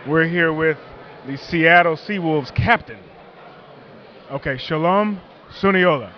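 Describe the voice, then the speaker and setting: Brief: talkative male interviewer, a bit high-pitched, joking, muffled outdoor sound. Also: slow, slight background noise. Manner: chatty, joking, rambling questions.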